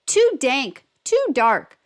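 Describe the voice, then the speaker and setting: Woman as little mouse, timid, high, animated exclamations, picture-book character. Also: drawn-out words, heavily acted. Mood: fretful. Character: tiny timid storybook mouse.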